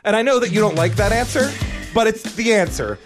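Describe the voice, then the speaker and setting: A man's voice: high-pitched, animated, a little fast, over music. A man, a comedian guest on a podcast, bantering in a playful, mock-defiant way. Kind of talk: casual chat.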